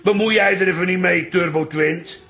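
A man's voice: sits high, fairly even intonation, moderate pace, bright, forceful tone, faint music behind. An actor playing a stubborn, childish old father in a comic radio drama. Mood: defiant. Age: older.

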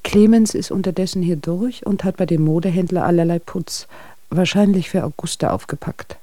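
An actress playing a wry, gossipy friend who reports on a couple in letters, dry and observant, in a radio drama. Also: female voice, lowish voice, normal speed, mellow, no echo, lightly acted. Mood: wryly amused.

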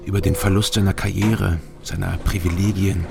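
An actor playing a terse, guarded, world-weary East German investigator in a radio crime drama, with a German accent. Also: male voice, sits low, steady tone, normal speed, creaky, over music. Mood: bleak, reflective.

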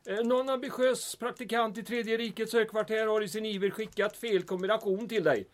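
A male actor plays a courteous underling in a comic radio play. His voice sits high for a man. He is careful and deadpan, with a dry sardonic edge under the deference. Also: close to an everyday voice. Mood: apologetic. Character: polite, drily sardonic male subordinate to a commandant. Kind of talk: comedic audio drama acting.

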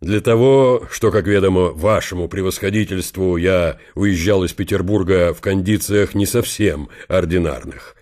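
An actor playing a gloomy old field marshal, dry, curt and ironic. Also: male voice, deep voice, moderate pace, few pauses, a little mellow, lightly acted. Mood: bitter irony.

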